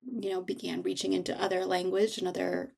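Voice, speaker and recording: A woman speaking reflectively as an interview guest, halting and thoughtful as she searches for her words. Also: fairly low pitch, completely flat delivery, gravelly, a little mellow, slightly rough. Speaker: author, guest.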